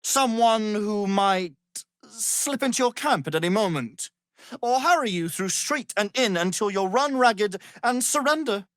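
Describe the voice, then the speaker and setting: An arrogant, menacing wizard from a fantasy game, speaks in an unhurried, highly animated voice. He is pompous and condescending, delivering each phrase with theatrical relish. The recording is dry and close. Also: dry recording. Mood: haughty, menacing. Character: arrogant, power-hungry male wizard, haughty and menacing.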